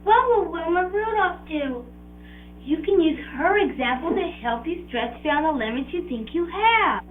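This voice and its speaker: A woman's voice: very high voice, animated, unhurried, a little hiss or hum. A woman playing an eager, sincere, slightly bossy young friend in an educational film drama. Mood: insistent. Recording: tinny 1980s 16mm film soundtrack, music.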